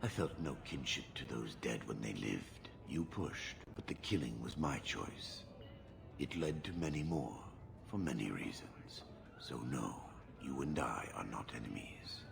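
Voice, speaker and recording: Extremely deep gravelly stoic giant mercenary. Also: male voice, background noise, heavily acted. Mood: stoic, detached. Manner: terse, measured, deadpan. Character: stoic horned giant Qunari mercenary man. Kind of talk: video game character acting.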